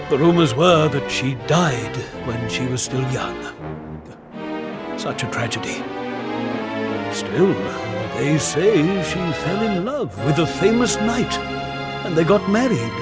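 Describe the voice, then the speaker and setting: A grandiose, big-hearted ringmaster from a video game speaks over loud music. His voice sits high for a man. He takes his time over florid phrases like a born showman. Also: expressive, slow, long pauses. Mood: wistful. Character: portly, theatrical, warm-hearted male circus ringmaster. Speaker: circus ringmaster. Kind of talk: video game cutscene acting.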